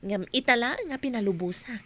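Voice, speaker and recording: A woman's voice: a little high, animated, fairly quick, fairly bright tone. A woman playing a distressed young woman pleading and confiding in a radio drama. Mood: pleading, upset.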